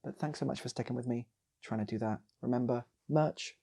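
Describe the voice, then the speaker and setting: A man's voice: mid-range voice, brisk, vocal fry, warm tone, slightly rough. A man with a British accent telling a casual improvised comic story as a channel host, rambling and repeating his phrases.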